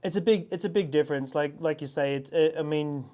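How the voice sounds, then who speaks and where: A man's voice: a little high, fairly quick, mellow. A man hosting a casual interview, encouraging his guest and rambling with frequent restarts. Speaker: podcast host.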